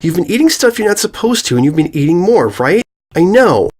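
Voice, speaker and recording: A woman's voice: lowish voice, very animated, brisk, a little mellow, pitched above the performer's own voice. A woman voicing a timid, anxious, stammering little filly pony in a dramatic story reading. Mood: timid, pleading. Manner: stammering, hesitant.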